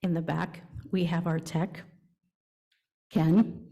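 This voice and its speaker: A woman worship leader with a lowish, mellow voice addresses a congregation. She reads out her welcome in short, deliberate phrases, leaving pauses between them and taking her time throughout. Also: little pitch movement, vocal fry. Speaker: worship leader at a church service. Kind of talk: reading a welcome and announcements to a congregation. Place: church service, speaking to a congregation.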